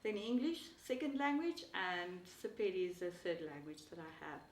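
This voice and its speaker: A woman's voice: lowish voice, big pitch swings, moderate pace, reverberant, recorded from a distance, a little hiss or hum. A woman teacher with an Afrikaans accent, a native Afrikaans speaker, talking eagerly about teaching, with many fillers. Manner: enthusiastic, rambling with fillers. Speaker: Sepedi language teacher. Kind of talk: interview answer.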